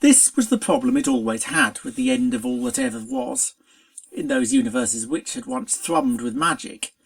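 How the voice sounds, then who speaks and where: A narrator reading a scripted story aloud in an expressive, dramatic storytelling manner. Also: mid-range voice, moderate pace, gravelly. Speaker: fan fiction reader.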